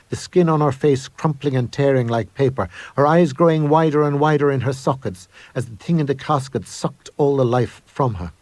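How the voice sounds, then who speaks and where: A haunted gentleman explorer acted by a male reader recounts his horror in a storybook ghost tale. The voice is husky, intense and deliberate, with a grave weight to each phrase. The recording is dry and close. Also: mid-range voice, dry recording, close to an everyday voice. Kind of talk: dramatic scripted horror monologue.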